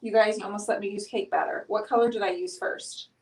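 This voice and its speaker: A woman's voice: medium pitch, fairly even intonation, fast talker, darkish tone. A middle-aged woman hosting a painting show, chatting casually and thinking aloud as she paints. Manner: chatty, teasing, thinks aloud while working. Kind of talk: casual live-stream chat while painting. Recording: roomy sound from a distance.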